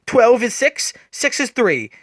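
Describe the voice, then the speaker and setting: A man as smug male puzzle villain, obsessive, self-assured lecturer, high swinging pitch, comic-book drama. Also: very animated, slow pace, a bit bright, no echo, studio quality, exaggerated voice. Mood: smug, didactic. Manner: theatrical, didactic, self-assured.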